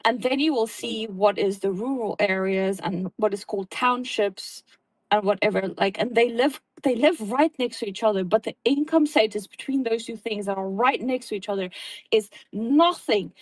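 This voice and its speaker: A woman's voice: fairly high pitch, normal speed, no room sound, poor audio. A woman with a South African accent in casual chat, earnestly explaining things at length. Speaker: guest.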